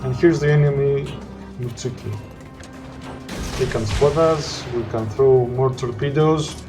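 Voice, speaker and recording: A man with a Greek accent, a non-native English speaker, narrating his gameplay in halting sentences full of "uh"s. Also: mid-range voice, over music. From Greece. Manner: halting, searching for words with frequent "uh". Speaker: gameplay commentator. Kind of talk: gameplay commentary.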